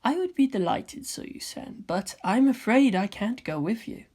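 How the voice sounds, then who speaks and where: A woman voicing a serene, courteous teenage boy with a soft, measured, polite manner in a fan fiction reading. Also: a little high, animated, pitched above the performer's own voice. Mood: calm, gently courteous.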